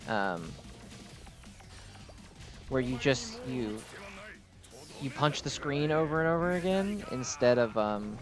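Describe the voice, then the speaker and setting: Male gaming host, mid-pitched, loose and rambling casual commentary, music playing behind. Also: animated, slow, long pauses. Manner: rambling, easily distracted delivery. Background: game music.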